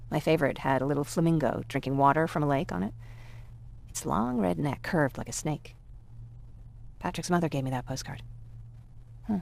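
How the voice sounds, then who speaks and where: A young woman survivor in a sci-fi game, with a noticeably creaky voice. She talks naturally and reflectively, with a wistful, wry edge. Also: deep voice. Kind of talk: naturalistic game cutscene acting.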